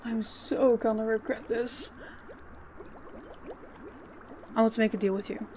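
A woman playing a stern, menacing female boss in an audio drama, speaking in a commanding, deliberate way. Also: on the high side, steady tone, mellow, slight background noise, natural voice. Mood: controlled, firm. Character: stern, menacing female crime boss posing as business owner.

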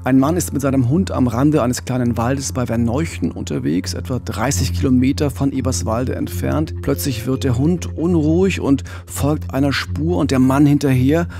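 A middle-aged man hosting a true-crime podcast, telling the story with a gravelly, husky voice on a studio mic. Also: medium pitch, fairly even intonation, normal speed, hardly any pauses, warm tone, soft background music. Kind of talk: podcast storytelling, partly scripted. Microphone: studio mic with pop filter, headphones.

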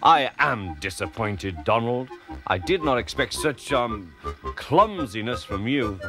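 A stern railway boss from a children's storybook, acted by a man. He is pompous and scolds at a slow, deliberate pace. His pitch is middling, with music clearly behind. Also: expressive. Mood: stern, disappointed. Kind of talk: dramatised children's storybook reading.

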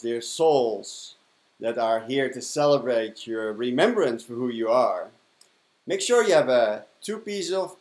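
A man in his fifties with a Dutch accent gives an effusive spiritual talk to camera, repeating affirming words. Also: medium pitch. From Netherlands. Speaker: spiritual mentor and broadcast host. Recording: roomy, echoing indoor sound.

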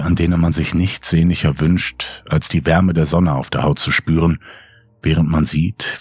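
A man's voice: very deep voice, husky, dry recording, studio quality. A man reading a scripted horror story as a dramatic narrator. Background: music under the voice.

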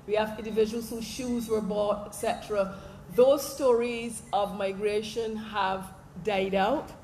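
A woman's voice: medium pitch, a little slow, slight background noise. Barbadian woman lecturing in an echoey hall. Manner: animated, slips into local dialect for quotes. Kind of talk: public lecture. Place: large hall stage. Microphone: stand mic, filmed from the audience. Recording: echoey hall, faint ambience.

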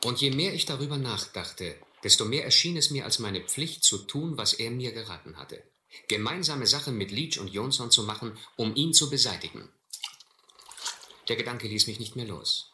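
Earnest gentleman narrating a sea adventure, lowish very crisp voice, roomy old radio drama. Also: expressive, normal speed. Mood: grave, reflective. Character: earnest, reflective gentleman castaway narrating his ordeal. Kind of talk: dramatic scripted narration, German radio play. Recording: roomy, faintly hissy old radio play.

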